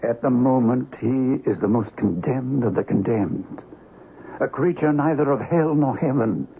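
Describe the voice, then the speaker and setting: A man's voice: mid-range voice, measured pace, vocal fry. A man playing a grave doctor of the occult in a radio horror drama, speaking theatrically and solemnly. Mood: grave, ominous. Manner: grave, theatrical, archaic incantations. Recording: noisy, tinny old radio broadcast.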